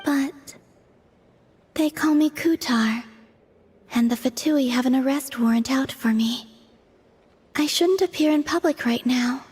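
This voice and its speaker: A serene, detached young maiden from another world for a video game. The voice is fairly high, soft and breathy, with measured, almost deadpan phrasing. Long reverb and background music surround it. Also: female voice, heavily acted. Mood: calm, reserved. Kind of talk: dramatic video game voice acting.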